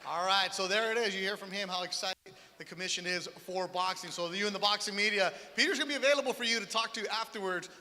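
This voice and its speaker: A man hosting a boxing press conference, introducing fighters with energetic, hype announcing. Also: sits high. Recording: reverberant hall with faint background noise.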